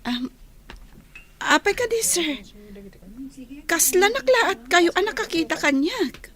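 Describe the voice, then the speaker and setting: High-voiced, puzzled Ilocano eatery keeper. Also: female voice, very animated, slow pace, a bit bright, heavily acted. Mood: polite, puzzled. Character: puzzled, polite female village eatery keeper. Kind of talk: radio drama acting.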